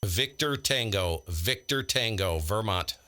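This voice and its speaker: A man's voice: on the low side, animated, takes it slowly, pushed and bright. An American man live-streaming ham radio with casual, blunt, slangy commentary and radio calls. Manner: blunt, slangy, exasperated grumbling.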